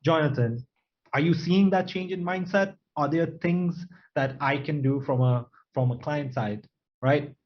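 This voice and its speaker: A man's voice: a little high, a little slow. Male moderator on a muffled call. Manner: conversational, poses questions from a client's view. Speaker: webinar moderator. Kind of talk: moderating a panel.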